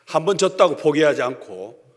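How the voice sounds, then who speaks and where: A male pastor with a Gyeongsang accent giving an emphatic sermon, swinging between loud declarations and hushed asides. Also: a little high, big pitch swings, moderate pace. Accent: Gyeongsang Korean. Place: church sanctuary. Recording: long church hall reverb.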